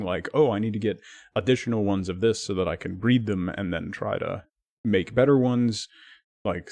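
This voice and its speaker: A man streaming live, chatting casually with his viewers and thanking them by name, his talk full of lively swings up and down. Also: fairly low pitch, dry recording.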